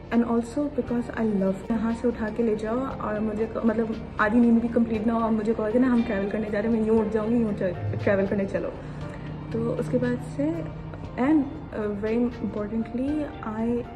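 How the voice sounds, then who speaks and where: A 21-year-old woman raised in Saudi Arabia, introducing herself as a guest in a casual interview, with hesitant pauses and restarts and some Urdu mixed in. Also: mid-range voice, rough recording. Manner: hesitant, many fillers and restarts, mixing in Urdu. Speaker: interview guest, aviation student.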